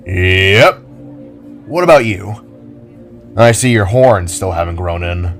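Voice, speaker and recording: A man's voice: low voice, animated, takes it slowly, fairly bright tone, soft background music, exaggerated voice. A man voicing an orb-headed spark creature, a reserved, teasing but encouraging big brother, in an audio drama.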